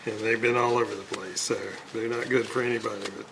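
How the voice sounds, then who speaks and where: A man's voice: on the low side, brisk, gravelly. A man giving casual, hands-on repair commentary in fragmented remarks, half muttering to himself as he works. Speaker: radio restorer hosting a hands-on repair video. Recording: steady mains hum and loud background noise.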